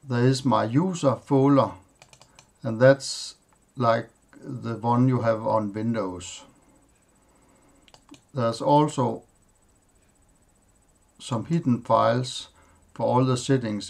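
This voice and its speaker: Non-native English man, Danish first language, unhurried screen-tutorial host, plain and halting, mid pitch. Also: gravelly, a little mellow. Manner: halting, plain, listing items with pauses and fillers. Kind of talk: screen-recorded software walkthrough.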